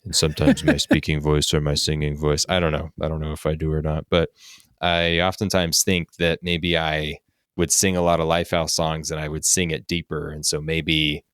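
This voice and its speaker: A man with a deep voice co-hosting a casual podcast, talking in an easygoing, hesitant way with many likes and I don't knows. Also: level tone, moderate pace, fairly bright tone, clean tone, dry recording, studio quality. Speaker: podcast co-host.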